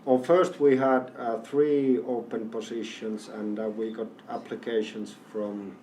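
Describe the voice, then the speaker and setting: Halting man in panel interview. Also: mid-range voice, level tone, background noise. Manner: halting, many fillers and restarts. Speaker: company representative. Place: event venue. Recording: distant mic with faint ambience.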